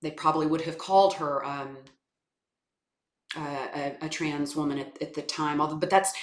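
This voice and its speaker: A woman from South Carolina with a naturally low voice, giving thoughtful, hesitant interview answers full of fillers and restarts. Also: a bit bright. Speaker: guest, voice coach and actor. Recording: echoey room.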